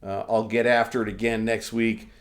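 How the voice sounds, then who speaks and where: A man's voice: on the low side, flat delivery, normal speed. A young man hosting his own channel, sick and losing his voice, talking casually and profanely to camera through a condenser mic in a room with poor acoustics. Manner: loose, profane, opinionated. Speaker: firearms channel host. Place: indoor lounge room.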